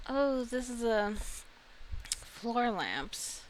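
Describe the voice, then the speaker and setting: Unhurried woman chatting through game commentary, noisy recording. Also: on the high side, sing-song. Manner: chatty, thinking aloud, reacting to what she finds. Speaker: video game streamer and host. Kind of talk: casual game commentary, browsing items aloud.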